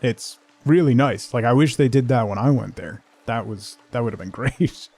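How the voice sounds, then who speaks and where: A man hosting a game livestream, rambling casually and haltingly as he thinks aloud and replies to viewers. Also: medium pitch, darkish tone, slightly rough. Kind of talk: casual chat while playing, answering viewers.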